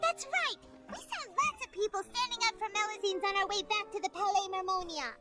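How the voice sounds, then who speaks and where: Casting: childlike game fairy, extremely high and squeaky, perky, exclaiming, music underneath. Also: female voice, brisk. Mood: perky, excited. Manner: perky, exclaiming, refers to self in third person. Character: tiny cheerful childlike fairy companion girl, curious and excitable. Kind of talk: anime game voice acting.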